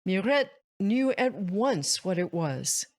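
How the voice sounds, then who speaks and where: A woman narrating a children's story aloud as host, in a measured, deliberate, expressive read-aloud style. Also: medium pitch. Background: music.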